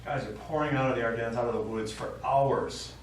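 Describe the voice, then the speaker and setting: An actor playing a stubborn old American war veteran and father, telling his memories haltingly in a stage play. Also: mid-range voice, fairly even intonation, lightly acted. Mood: grim recollection. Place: small theater stage, recorded from the audience. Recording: distant, very echoey theater recording, faint noise.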